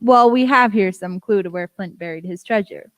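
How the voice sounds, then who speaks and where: A woman playing a composed, inquisitive gentleman doctor in a radio play, speaking politely and formally. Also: medium pitch, moderate pace, natural voice. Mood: polite, curious. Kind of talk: youth radio play acting.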